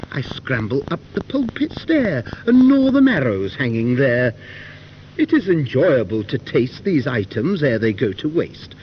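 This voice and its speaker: A prim church mouse, played by a man, airs pious grievances in measured storybook verse. The voice is fairly high and swings widely in pitch. It comes off a dull old cassette. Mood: self-satisfied. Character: fussy, pious, aggrieved male church mouse. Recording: dull, faintly noisy cassette recording.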